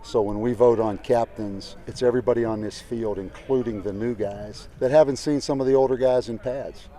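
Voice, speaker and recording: A man's voice: mid-range voice, steady tone, normal speed, mellow. A man, a football coach, giving an informal interview outdoors at a practice field.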